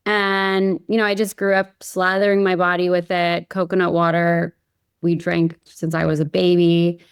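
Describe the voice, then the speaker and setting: Woman from hawaii chatting casually as a guest, smooth clear voice, dry close recording. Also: lowish voice, little pitch movement, normal speed, no room sound. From Hawaii, United States. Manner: informal, peppered with "you know" and "like". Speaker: podcast guest, company founder. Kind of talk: casual chat, recounting own story.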